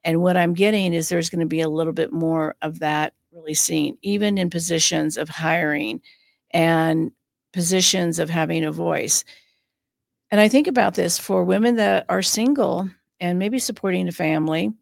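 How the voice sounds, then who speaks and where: Creaky-voiced woman hosting a podcast. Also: fairly low pitch, normal speed, no echo, clean studio sound. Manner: chatty, with frequent fillers and restarts. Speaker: podcast host and psychic medium. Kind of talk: casual podcast chat.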